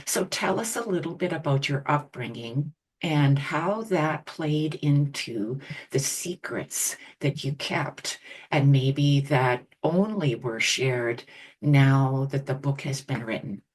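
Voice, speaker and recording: A woman hosting an interview, asking her guest questions in a measured, thoughtful way. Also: rumbling low, darkish tone, slightly rough. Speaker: podcast host.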